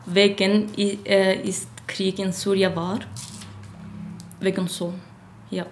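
Hesitant, flat-voiced woman. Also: medium pitch, faint music behind. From Syria. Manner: hesitant, halting, many fillers. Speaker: radio guest. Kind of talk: casual interview answers.

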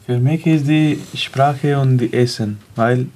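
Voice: medium pitch, slow pace, darkish tone. A nineteen-year-old from Afghanistan, a native Punjabi and Pashto speaker, talking casually about personal experiences. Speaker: youth radio participant.

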